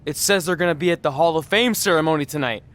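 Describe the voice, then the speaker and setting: A man's voice: a little high, fast talker, fairly bright tone, lightly acted. A man acting a goofy, eager young wrestler in a video game cutscene, chatting with boyish earnestness. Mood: hopeful excitement. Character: eager goofy young male pro wrestler, wisecracking and naive.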